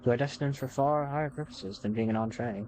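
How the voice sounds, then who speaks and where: A man's voice: mid-range voice, quick pace, darkish tone, a little hiss or hum, natural voice, low-quality recording. A man voicing a formal, devoted, possessive visual novel narrator, speaking straight to the player in a courtly way. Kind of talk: reading game text aloud.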